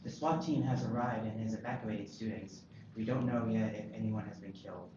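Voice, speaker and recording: A man plays a male television reporter delivering breaking news in a lowish voice. The sound is very echoey and dull, with clear noise behind it. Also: a little fast, close to an everyday voice. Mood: urgent reporting. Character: television news reporter covering a school emergency. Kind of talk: breaking news report read aloud at table read. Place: roomy indoor table read.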